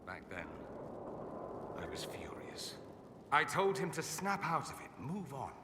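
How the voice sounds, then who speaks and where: An actor playing a vain, sardonic young aristocratic mage in a video game, with a suave, theatrical and wry delivery. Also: male voice, fairly high pitch, big pitch swings, unhurried, echoey room, big-room sound, background noise. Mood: regretful, reflective. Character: witty, vain aristocratic male mage, sardonic rebel exile. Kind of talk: video game dialogue acting.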